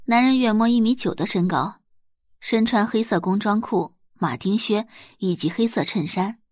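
A woman's voice: medium pitch, normal speed, no room sound, clean studio sound. A woman giving dramatic scripted narration of romance fiction as an audiobook narrator.

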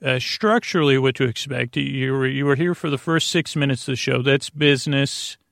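Meandering man with a gravelly, creaky voice. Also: mid-range voice, fairly bright tone, no echo, clean studio sound. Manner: meandering, halting, self-interrupting with tangents. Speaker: podcast host. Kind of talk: rambling bedtime monologue to help listeners sleep.

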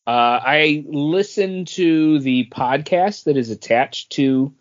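A man's voice: on the high side, slow. A man chatting casually as a podcast co-host. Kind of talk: casual chat. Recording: dull, lo-fi podcast audio.

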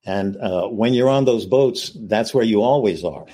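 A man's voice: on the low side, unhurried, warm tone, slightly rough. A man telling an anecdote, leaning on repeated fillers as he builds it up. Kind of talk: anecdote in a clip.

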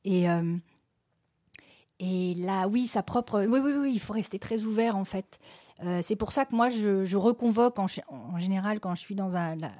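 A middle-aged woman answering interview questions about her work as a festival programmer, halting and full of restarts. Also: medium pitch, dark tone, no room sound, studio quality. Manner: halting, many restarts and fillers. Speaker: festival general delegate.

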